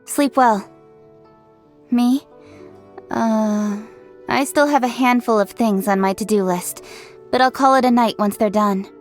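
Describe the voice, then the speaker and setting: A woman voicing a brisk, self-assured young official. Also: fairly high pitch, faint music behind, lightly acted. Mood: confident. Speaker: game character voice lines. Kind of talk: game voice-over.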